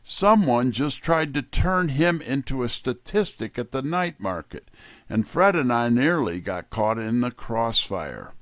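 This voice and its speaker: A man's voice: medium pitch, lively intonation, measured pace, fairly bright tone, a little hiss or hum. A man giving a scripted, wry first-person hardboiled detective narration.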